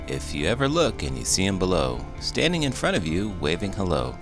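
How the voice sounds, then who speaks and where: A man doing a deep, gravelly, animated cartoon horse. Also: a little fast, music in the background, exaggerated voice. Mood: playful, theatrical. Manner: theatrical, exaggerated. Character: Tango, a talking male horse, cheeky, curious storybook sidekick. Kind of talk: read-aloud children's storytelling with banter.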